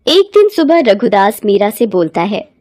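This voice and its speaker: A woman's voice: mid-range voice, very animated, moderate pace, a little mellow. A woman narrating a scripted moral bedtime story as its storyteller.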